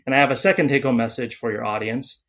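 A man in his forties from Taiwan, a psychiatrist speaking as a podcast guest, pausing often with fillers and restarts. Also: medium pitch, a bit bright. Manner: hesitant, many fillers and restarts. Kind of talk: podcast interview.

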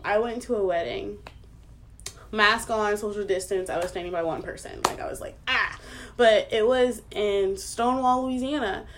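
A woman in her twenties co-hosting a casual chat podcast, talking with many fillers and frequent laughs. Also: medium pitch. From United States. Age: 20s. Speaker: podcast co-host.

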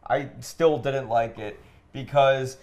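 A man's voice: mid-range voice, steady tone, takes it slowly, darkish tone. A man hosting a casual podcast, giving blunt opinions in loose conversational speech peppered with filler "like". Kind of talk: casual film criticism while gaming.

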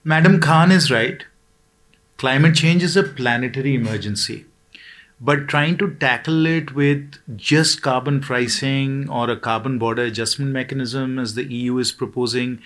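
A man's voice: mid-range voice, a bit bright. A man speaking as a panelist in a discussion, formal and policy-minded, with frequent hesitation fillers.

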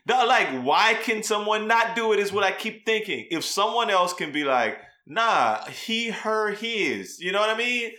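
Bright-voiced, blunt male podcast co-host. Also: high-pitched, very animated, measured pace, few pauses. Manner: slangy, blunt, emphatic. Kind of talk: casual comedic podcast talk and commentary.

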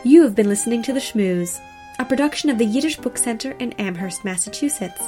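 A woman's voice: on the high side, expressive, fairly quick, dark tone. A woman podcast host reading a scripted sign-off, with guitar and fiddle music behind her. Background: string music with guitar and fiddle.

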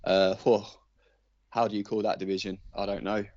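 A man's voice: lowish voice, level tone, normal speed, darkish tone, dry recording, dull audio. A man giving laid-back, casual commentary as a podcast panellist. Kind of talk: casual commentary on league standings.

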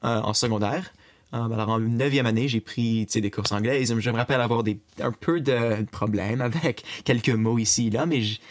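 A young man from eastern Ontario with a Franco-Ontarian accent, talking casually in an interview and often pausing with fillers and restarts. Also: medium pitch. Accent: Franco-Ontarian French. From Eastern Ontario, Canada. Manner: hesitant, many fillers and restarts. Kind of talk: casual recorded conversation.

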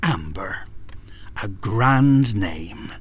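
A pompous Irish kidnapper in a darkly comic radio play. His pitch swoops theatrically and his pace is slow. He sounds officious and menacing, like a self-important official. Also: animated, breathy edge, full character voice. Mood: smugly menacing. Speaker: actor.

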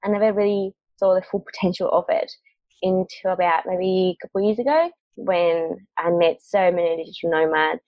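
A woman's voice: lowish voice, moderate pace, no echo. A young woman from Mexico, a guest on an interview, chatting casually with frequent laughs and fillers. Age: young adult. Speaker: guest, marketing agency founder. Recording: dry, dull lo-fi call audio.

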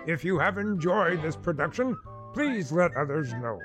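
A man's voice: on the high side, sing-song, normal speed. A man reading a scripted closing announcement as the announcer of a radio theater production. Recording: clear music behind the voice. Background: music.

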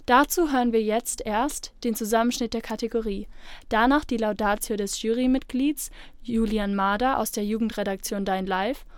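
A woman's voice: a little high, moderate pace, smooth, no room sound. A woman reading a scripted introduction as an announcer. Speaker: announcer introducing award entries. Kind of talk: scripted narration.

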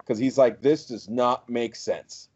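A man with a warm, relaxed voice of medium pitch co-hosts a podcast. He speaks in a casual, conversational way. The audio sounds somewhat dull and lo-fi. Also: measured pace. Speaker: podcast co-host. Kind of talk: casual discussion, reading excerpts aloud.

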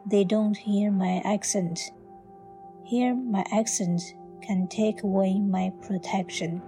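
An actress playing a wistful migrant woman reciting measured, repeating verse in a radio play, over music. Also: mid-range voice, steady tone. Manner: measured, echoing phrases. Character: wistful migrant woman poet remembering her village. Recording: music behind the voice.

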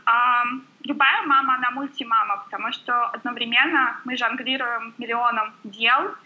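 A woman guest chatting quickly and warmly in an interview, full of filler sounds. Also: on the high side, steady tone, narrow, tinny audio. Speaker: guest blogger. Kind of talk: casual interview chat.

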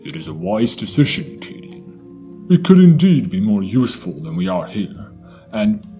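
A man playing a wise, steady tauren commander in a fantasy audio drama, with formal, deliberate phrasing. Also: on the low side, soft background music. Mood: firm.

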